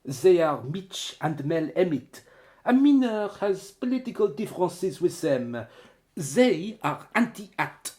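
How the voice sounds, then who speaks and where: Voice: mid-range voice, very animated, slow pace, darkish tone. An actor playing an eager, helpful foreign former student stranded as an exile in a radio play, explaining earnestly.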